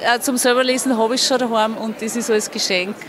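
A woman's voice: a little high, fairly even intonation, fairly quick, rough recording. A middle-aged woman with an Upper Austrian accent, answering casually as a visitor at an indoor event. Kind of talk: casual interview. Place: crowded indoor event hall. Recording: crowd chatter behind.

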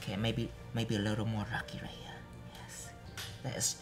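A young man teaching a live nail art workshop, chatty and repeating steps softly as he demonstrates. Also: fairly low pitch, completely flat delivery, normal speed, vocal fry, music in the background. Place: bright studio classroom with students.

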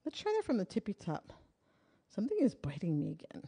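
A woman's voice: medium pitch, huge pitch swings, brisk, dark tone, slightly rough. A middle-aged woman teaching hula in a small indoor room, counting beats and half-singing lyrics in a chatty, playful way while she dances. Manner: chatty and playful, jokes and asides. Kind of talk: dance instruction while dancing. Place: small indoor studio room with curtains and wooden floor.

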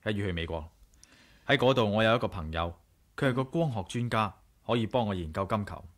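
An actor plays a determined, inquisitive male adventurer in a 1980s radio play. His pitch is on the low side, and he speaks with a Hong Kong Cantonese accent. He comes over as a decisive man with a brooding streak. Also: fairly quick, close to an everyday voice. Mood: serious, curious.

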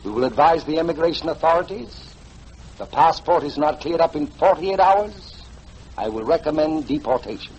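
An actor playing a formal, officious police inspector in a 1940s radio drama, speaking deliberately. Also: male voice, fairly high pitch, lively intonation, darkish tone, a little hiss or hum, natural voice, low-quality recording. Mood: stern, official. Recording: 1940s radio recording, faint music.

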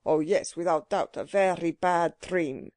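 Woman as a slow, gloomy old stockbroker man. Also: lowish voice, a little mellow, no room sound, close to an everyday voice. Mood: dismayed.

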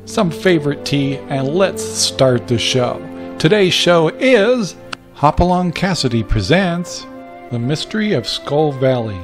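A man's voice: fairly high pitch, very animated, unhurried, faint music behind. A man reading a scripted introduction as the announcer of a vintage radio podcast.

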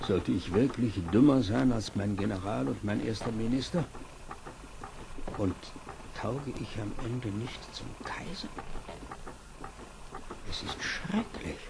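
An actor playing a vain, pompous emperor who secretly frets, declaiming in a fairy-tale audio drama. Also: male voice, medium pitch, gravelly. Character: vain pompous emperor, secretly anxious about seeming stupid. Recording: old cassette, reverberant hall, faint noise.

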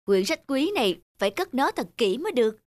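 A woman voicing a proud, studious father turtle in an animated fairy tale, with an instructive, self-important manner. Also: a little high, fairly quick, no room sound.

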